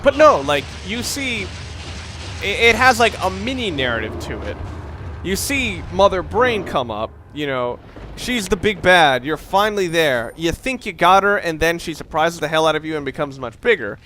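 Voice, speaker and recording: A man's voice: a little high, expressive, moderate pace, sharp tone, a little hiss or hum. A young man giving casual, rambling, opinionated commentary while playing a video game, with the odd curse word. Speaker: let's play commentator.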